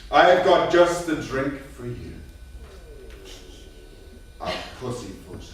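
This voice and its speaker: A man's voice: medium pitch, sing-song. A man playing a flirtatious hotel charmer in a staged reading, suave and patronising. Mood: coaxing. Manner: suave, coaxing, drawn-out. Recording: echoey theatre, distant mic, faint noise.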